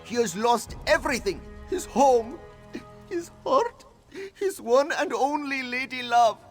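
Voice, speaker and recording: Fantasy game cat-folk captain, high and swooping, roguish, theatrical, music faintly behind. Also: male voice, lively intonation, slow pace, full character voice. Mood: melodramatic grief. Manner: melodramatic, speaks of himself in third person. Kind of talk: fantasy video game dialogue.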